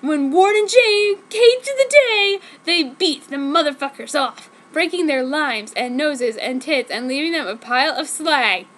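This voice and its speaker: A woman's voice: high voice, animated, unhurried, few pauses, a bit bright. A woman reading fanfiction aloud as a theatrical, mock-dramatic narration. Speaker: fanfiction reader.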